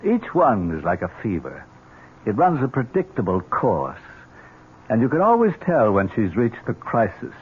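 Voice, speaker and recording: An actor playing a sly, sardonic uncle in an old-time radio drama, deliberately insinuating and needling. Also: male voice, mid-range voice, wildly swooping pitch, husky, exaggerated voice. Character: sly, mocking uncle needling his niece's fiancé. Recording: tinny noisy old radio recording.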